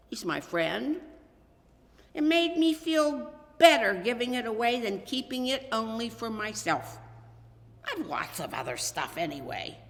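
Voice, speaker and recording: A woman voicing an excitable, open-hearted young boy in a Christmas story, eager and exclamatory. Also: a little high, voice pushed up, full character voice. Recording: reverberant hall.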